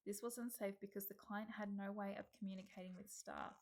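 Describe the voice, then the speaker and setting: A woman's voice: mid-range voice, steady tone, brisk. A young adult woman from Australia with an Australian accent, reading a prepared reflection aloud evenly from a script.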